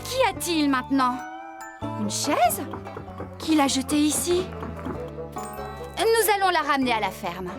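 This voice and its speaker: A brisk, easily exasperated lady farmer from a children's cartoon. The voice is very high and lively, scolding expressively, with loud music behind it. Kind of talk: French children's cartoon acting.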